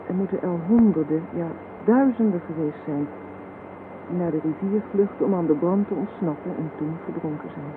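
A performer playing a solemn survivor of a bombing, giving grave, measured testimony in a radio play. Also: medium pitch, natural voice. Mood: grave, haunted. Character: solemn eyewitness survivor recalling horrific bombing. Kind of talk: dramatised testimony monologue. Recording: noisy, tinny 1970s radio play recording.

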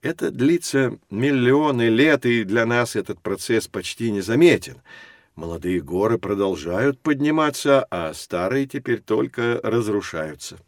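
Animated professor teaching children. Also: male voice, medium pitch, a little slow, dry recording, clean studio sound. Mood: patiently explanatory. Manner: didactic, expressive explaining. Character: lively, patient male professor guiding two children. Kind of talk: scripted educational audio drama.

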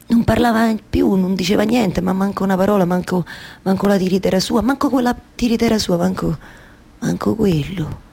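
An actress playing a weary, talkative weaver wife in a theatrical monologue, speaking colloquially with a Roman accent and repeating phrases haltingly. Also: female voice, medium pitch, gravelly, dark tone, breathy edge. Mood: anguished. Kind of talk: theatrical monologue for radio theatre with live music.

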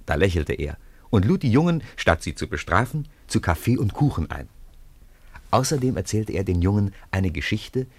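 Deep-voiced man narrating a children's story. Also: dry recording. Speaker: narrator of a children's radio play.

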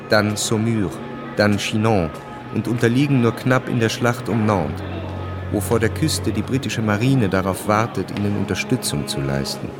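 A man with a fairly low voice narrates a historical radio play. His delivery is measured and literary, with clear music behind him. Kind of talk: scripted radio drama narration.

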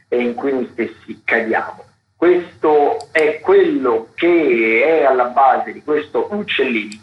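A man presents theatre and dance listings on the radio in a semi-scripted style. He pauses and stretches words as he goes. The sound is echoey and slightly dull, at a medium pitch.

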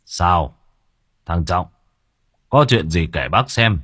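A man voicing a stern older village chief who barks orders in a gruff, commanding way. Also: deep voice, lively intonation, slow pace, a bit bright, dry recording, studio quality, exaggerated voice.

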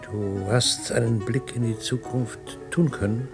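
An actor in a German radio drama playing a controlling, evasive professor husband with a probing, guarded manner. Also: male voice, mid-range voice, steady tone, unhurried, creaky, over music. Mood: evasive, irritated.

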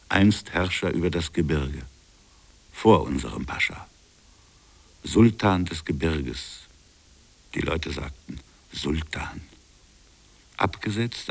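An actor playing a grave colonial official, recounting a buried crime in a measured, solemn literary radio drama monologue. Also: male voice, low voice, gravelly, heavily acted.

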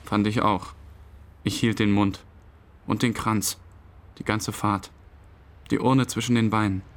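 Low-voiced subdued grieving man. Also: steady tone, unhurried. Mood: reflective, subdued. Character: subdued, hesitant grieving man recalling a friend's burial. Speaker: narrator in a radio play. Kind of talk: interview-like dramatic monologue, past-tense recollection. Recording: faint rain and ambience noise.

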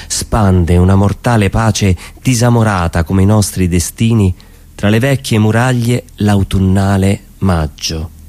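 Guy reading poetry dramatically, lowish voice, dry close sound. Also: a little mellow, dry recording. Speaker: performer reciting poetry.

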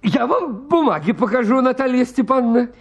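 Shrill, creaky, fussy landowner suitor. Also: male voice, animated, a little fast, full character voice. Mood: pleading, flustered. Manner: fussily polite, pleading, argumentative. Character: excitable, pedantic, stubborn landowner suitor in a stage farce. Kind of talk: Russian radio comedy acting.

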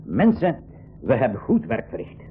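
A man's voice: mid-range voice, huge pitch swings, faint music behind. A man with a Flemish accent playing an earnest, authoritative professor uncle who leads adventurers in a school radio play. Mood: earnest, resolute. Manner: deliberate, authoritative, emphatic. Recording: muffled old radio recording, faint noise.

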